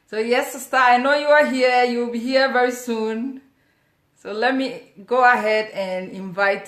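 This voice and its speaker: A woman hosting a live-streamed talk show, excitable and quick to laugh, with many fillers. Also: medium pitch. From Ghana. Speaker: host of an online talk show. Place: home in Brisbane. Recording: echoey room.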